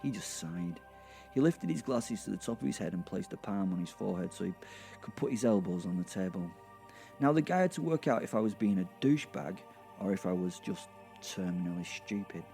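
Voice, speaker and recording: A man's voice: low-pitched, expressive, fairly quick, gravelly, warm tone, soft background music. A man narrating a first-person comic horror story in a wry, conversational way, leaning on words for emphasis. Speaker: narrator of a serial audio drama.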